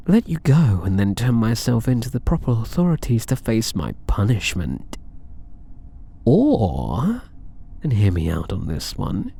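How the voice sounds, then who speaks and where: British guy doing a flirty possessive catboy thief, soft mellow voice that swoops around, teasing asmr roleplay. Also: lowish voice, gravelly, exaggerated voice. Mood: playful, possessive. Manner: teasing, doting, purring endearments, unhurried. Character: possessive, flirtatious alien catboy master thief, smitten and playful. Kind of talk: ASMR roleplay, intimate monologue.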